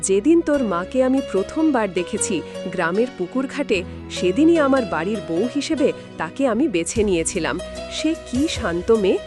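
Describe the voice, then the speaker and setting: Woman voicing elderly village grandmother, fond storytelling, animated pitch, background music, audio drama. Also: moderate pace, close to an everyday voice. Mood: fond reminiscing. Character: affectionate elderly village grandmother telling tales.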